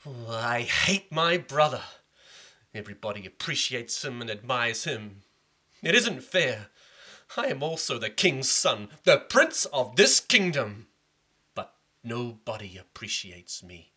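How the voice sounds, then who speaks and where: A man voicing a jealous, petulant older prince who complains loudly about being overlooked. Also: mid-range voice, big pitch swings, moderate pace, slightly rough, full character voice. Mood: resentful. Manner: whining, resentful outbursts.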